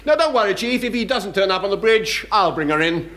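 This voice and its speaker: An actor playing a languid, dryly mocking naval officer in a radio sitcom. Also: male voice, high voice, animated, fairly quick, a bit bright. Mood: dryly amused. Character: languid, flippant upper-class British naval officer. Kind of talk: British radio sitcom acting.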